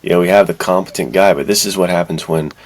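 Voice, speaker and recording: Very deep-voiced man chatting casually. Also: fast talker. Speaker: podcast co-host. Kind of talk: casual film discussion.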